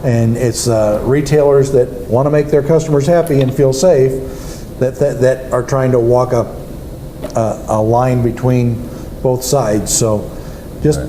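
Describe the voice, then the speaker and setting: A man's voice: medium pitch, fairly even intonation, measured pace, dark tone. A man co-hosting a gun range talk show, explaining points patiently and urging listeners to get involved. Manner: agreeable, explains and urges listeners. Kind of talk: casual chat. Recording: echoey room with faint noise.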